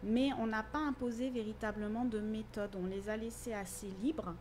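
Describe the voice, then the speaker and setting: A woman teacher giving measured, articulate explanations in a radio interview. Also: fairly high pitch, steady tone, a little mellow, a little hiss or hum. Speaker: university teacher.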